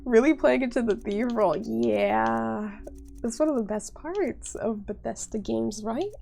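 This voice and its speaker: A woman's voice: a little high, measured pace, gravelly, dark tone, a little hiss or hum. Congested woman chatting with stream viewers. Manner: chatty, talks straight to viewers with lots of "like". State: congested from a cold. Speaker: game streamer. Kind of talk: casual live-stream gameplay chat.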